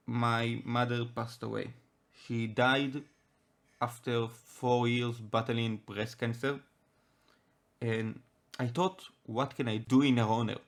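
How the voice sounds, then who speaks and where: A man's voice: medium pitch, creaky. Israeli man hosting a casual podcast. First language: Hebrew. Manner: halting, many restarts and fillers. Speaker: podcast host. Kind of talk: casual chat.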